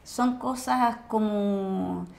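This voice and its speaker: A woman's voice: mid-range voice, extremely slow, gravelly, darkish tone. A woman with a Chilean accent, an actress speaking casually as a podcast guest.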